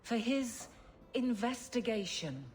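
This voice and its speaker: A woman's voice: a little high, lively intonation, slow, a little mellow. An actress playing a pragmatic woman official in a video game, dry and businesslike. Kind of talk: video game cutscene acting.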